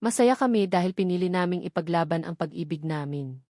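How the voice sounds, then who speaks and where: A woman reading a scripted story as narrator, in an earnest, sentimental and measured dramatic style. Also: fairly low pitch, lively intonation, dark tone, no echo, studio quality.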